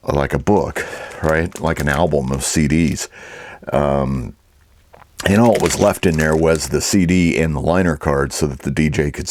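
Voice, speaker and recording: A man's voice: a bass voice, slight background noise. A man giving a casual, rambling and easygoing vlog talk as an online reseller.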